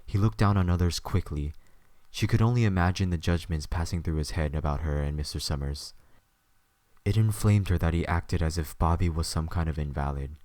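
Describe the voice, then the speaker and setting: Deep-voiced male story narrator. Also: a little fast, a little mellow, no echo.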